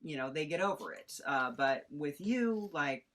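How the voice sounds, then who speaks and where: Low-voiced woman chatting casually. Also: lively intonation, a bit bright. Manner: rambling, halting. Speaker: podcast creator and director. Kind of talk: casual, unscripted chat.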